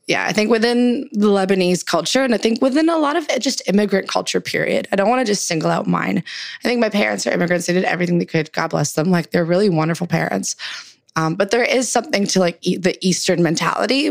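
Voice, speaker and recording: A woman's voice: medium pitch, brisk, gravelly, dry recording, clean studio sound. A young woman from Canada, a guest talking casually in an interview with plenty of "like" and "um". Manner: chatty, many fillers like "like" and "um". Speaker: guest, writer.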